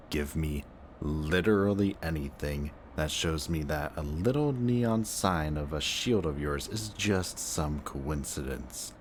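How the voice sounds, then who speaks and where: A man playing a sardonic, gruff but protective antihero villain in an intense, emotional audio roleplay. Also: rumbling low, slight background noise. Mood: tense, hurt.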